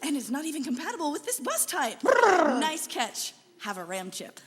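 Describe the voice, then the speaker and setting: A woman playing a bright tinkerer who talks to her dog in a sci-fi comedy radio play. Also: high-pitched, huge pitch swings, moderate pace, very noisy. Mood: chirpy, encouraging. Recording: reverberant hall, live stage.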